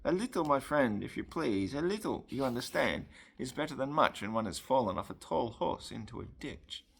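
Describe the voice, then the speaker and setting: A man voicing a courteous middle-aged French gentleman in a storybook, with a French accent and polite, halting, elegant phrasing. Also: mid-range voice, sing-song, vocal fry, husky, pitched above the performer's own voice, heavily acted. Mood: polite, wry.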